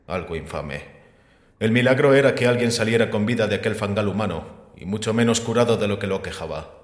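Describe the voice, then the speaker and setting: A man acting in a Spanish audio drama as a calm, sceptical, erudite figure, explaining in a measured, gently mocking way with rhetorical questions. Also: lowish voice, close to an everyday voice. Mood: wry, disdainful. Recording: echoey hall with long reverb.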